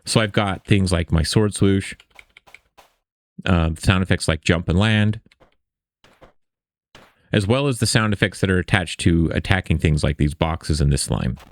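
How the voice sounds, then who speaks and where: A man's voice: deep voice, unhurried, pauses often, no room sound. A man giving a casual, explanatory coding tutorial as the instructor, often checking in with the listener.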